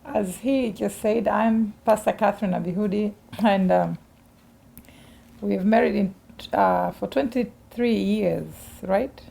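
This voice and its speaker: A woman pastor from Tanzania with a gravelly, dark-toned voice, talking easily through her family story. She speaks in a chatty, conversational way and breaks in with follow-up questions. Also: medium pitch, slow. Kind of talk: personal interview, telling life story.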